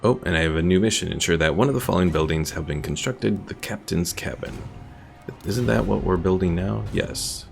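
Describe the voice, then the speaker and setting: A man's voice: low-pitched, a little fast, creaky. A man giving casual commentary on a game as a streamer, thinking aloud as he plays. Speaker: gaming streamer. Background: faint game music.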